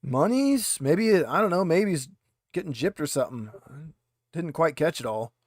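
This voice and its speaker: A man's voice: on the high side, lively intonation, moderate pace, no echo, clean studio sound. A man voicing a drunk, grumbling female pilot at a bar in a tabletop game, hesitant and boozy. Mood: tipsy, griping.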